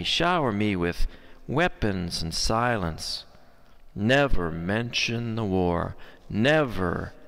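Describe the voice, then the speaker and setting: Slow American male poet in reverberant hall. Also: lowish voice, fairly bright tone, smooth. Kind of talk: poetry reading. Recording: long echoing hall reverb.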